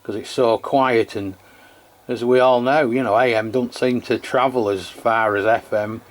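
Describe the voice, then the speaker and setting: A man's voice: mid-range voice, steady tone, measured pace. A man chatting casually as he hosts a hands-on radio equipment test. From England. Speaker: radio channel host testing equipment.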